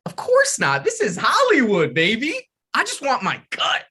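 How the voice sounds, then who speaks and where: A man's voice: extremely high pitch, wildly swooping pitch, moderate pace, pushed and bright, slightly rough, heavily acted. A man reading a needy, self-deprecating young screenwriter in a comedic table read, with eager, pleading comic delivery.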